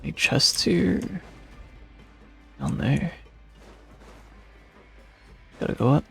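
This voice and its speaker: A man's voice: on the high side, wildly swooping pitch, extremely slow, creaky, slightly rough. A man giving casual, offhand gameplay commentary as a streamer, in short terse remarks. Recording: faint game music behind.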